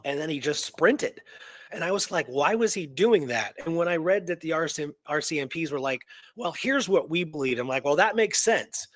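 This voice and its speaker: A man's voice: a little high, a bit bright, no echo. A man hosting a talk show, telling a historical story in a casual, colloquial, earnest and engrossed way. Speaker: radio show host telling a true story.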